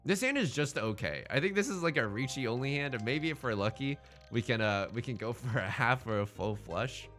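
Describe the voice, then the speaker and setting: Male let's play host, slangy and chatty, very varied pitch, thinking aloud over light background music. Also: expressive, fairly quick, fairly bright tone. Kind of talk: casual gameplay commentary.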